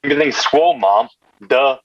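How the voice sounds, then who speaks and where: A man's voice: mid-range voice, a little fast, lightly acted. Sullen teenage boy, acted by a man, muffled recording. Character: sullen, self-absorbed sixteen-year-old boy. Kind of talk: screenplay table read. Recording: dull lo-fi mic, clear noise.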